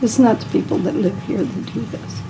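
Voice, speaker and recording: A woman's voice: medium pitch, moderate pace. A middle-aged woman, a local resident, speaking emphatically and plainly in a news interview. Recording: 1970s newsreel, clear street noise.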